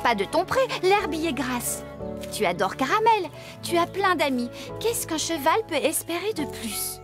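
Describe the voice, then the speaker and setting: An actress playing a caring, chatty farmer woman in a children's cartoon, talking in an expressive, coaxing way. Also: high-pitched, unhurried, over music. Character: caring, chatty, good-humoured farmer woman tending animals.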